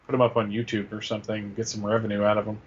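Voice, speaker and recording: A man's voice: fairly low pitch, monotone, rapid-fire, slight background noise. A man co-hosting a fan show, chatting casually about news on dull, lo-fi audio. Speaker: co-host.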